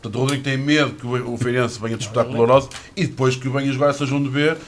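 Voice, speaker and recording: A man's voice: medium pitch, fairly even intonation, racing, a little hiss or hum. A man on a radio sports panel, teasing another panelist. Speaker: panel commentator.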